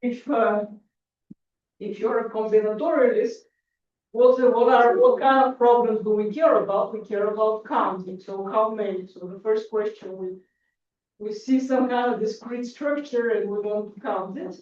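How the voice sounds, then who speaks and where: A woman from Bulgaria giving a math conference lecture, halting, with many restarts and fillers. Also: on the high side, fairly even intonation. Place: lecture hall. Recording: echoey hall, distant lo-fi mic.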